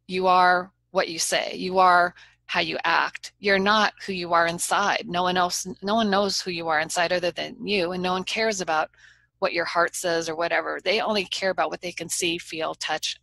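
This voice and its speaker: A woman trial lawyer speaking as an interview guest, her voice low and a little gravelly. She talks in a frank, earnest way, pressing her points with steady persuasion. The sound is close and dry. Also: dry recording, rough recording. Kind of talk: conversational interview.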